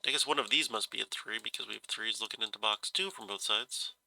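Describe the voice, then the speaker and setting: Brief: congested man solving a puzzle aloud, bright gravelly tone, dry close sound, halting and self-correcting. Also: on the low side, no echo, low-quality recording. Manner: hesitant, musing, self-correcting. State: stuffy nose, slightly scratchy voice from a cold. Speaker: puzzle solver.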